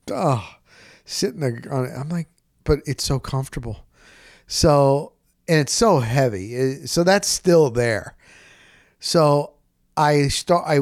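A middle-aged man telling a personal story casually as a broadcast host, acting out the conversations he recounts in an animated way. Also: a little high, no room sound, studio quality.

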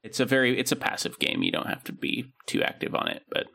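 A man's voice: mid-range voice, fast, gravelly, pushed and bright. A man co-hosting a podcast, chatting casually and rambling with many ums and restarts. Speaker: podcast co-host. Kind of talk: casual chat.

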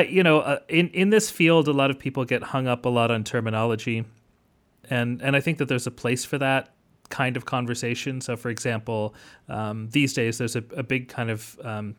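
A man's voice: medium pitch, a bit bright. A man speaking as an academic interview guest, explaining things thoughtfully and hesitantly in scholarly wording. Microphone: remote line.

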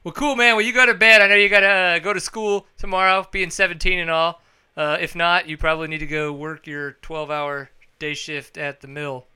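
A man hosting a casual podcast, joking loudly and reading sponsor plugs with lots of energy. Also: on the high side, steady tone, a little fast, clear, resonant tone. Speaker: podcast host. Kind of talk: casual podcast chat with ad reads.

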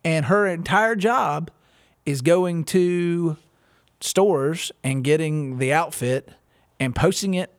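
Man, podcast host, slightly high voice, very dry close sound, joking, restarts a lot. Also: slow pace, no echo. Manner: joking, talks through ideas with restarts. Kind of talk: casual chat.

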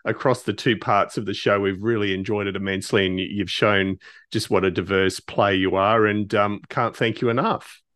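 A man's voice: fairly low pitch, fairly even intonation, normal speed, fairly bright tone, no room sound, studio quality. A man hosting a podcast in a casual, appreciative, conversational way. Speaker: podcast host.

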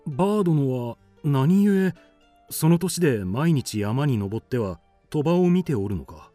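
An actor as a brave young warrior in a period radio drama. He talks in earnest, archaic phrases with very animated intonation. The recording is close and dry. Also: medium pitch, a little slow, dry recording, faint music behind, natural voice. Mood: earnest, curious. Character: brave, earnest young male samurai of early Heian Japan.

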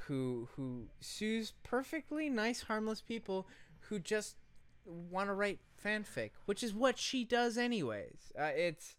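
A man with a higher-pitched, very animated voice co-hosts a comedy podcast. His delivery is casual, emphatic and sweary, like someone ranting to a friend. The sound is bright and dry. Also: clean tone, dry recording. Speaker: podcast co-host.

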